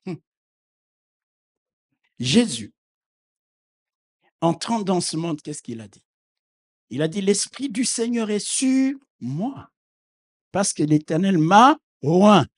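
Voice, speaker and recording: Slow, fervent male preacher. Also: very animated. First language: not French. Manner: fervent preaching with repeated hallelujahs; swings from low asides to loud shouted proclamations. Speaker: bishop. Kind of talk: sermon.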